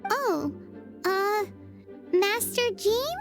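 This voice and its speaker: A woman voicing a tiny, excitable, cheeky fairy girl sidekick in a video game, squeaky and childlike, quick to exclaim. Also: exaggerated voice. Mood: earnest, chirpy. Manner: refers to self in third person, indignant, exclaiming. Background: game music.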